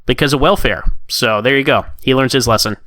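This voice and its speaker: A man co-hosting a casual podcast discussion, recapping stories in a rambling, self-deprecating way with animated asides. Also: mid-range voice, quick pace, dry recording. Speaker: podcast co-host.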